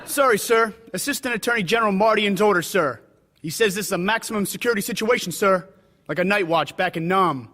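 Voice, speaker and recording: Young sergeant, high pitch, clipped, formal, rigidly respectful, radio drama. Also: male voice, brisk, bright, forceful tone. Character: stiff, dutiful young army sergeant.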